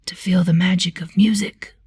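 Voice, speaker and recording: A woman voicing a gentle, courteous blind man in a storybook, with a folksy manner. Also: on the low side, fairly even intonation, moderate pace, breathy edge, no room sound, studio quality. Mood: hushed.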